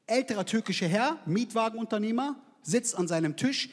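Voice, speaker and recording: A man's voice: sits high, animated, fairly quick. A man, performing a brash, slangy stand-up set on stage into a handheld mic. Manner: brash, slangy, punchy delivery. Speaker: stand-up comedian. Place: large theater stage with live audience. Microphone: handheld microphone. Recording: live hall with crowd reactions.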